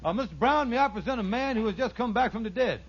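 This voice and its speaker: An actor playing a scheming, fast-talking con man in a 1940s radio sitcom, with blustering, stammering, folksy ungrammatical speech. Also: very high-pitched, expressive, very noisy. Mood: flustered. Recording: muffled vintage radio recording.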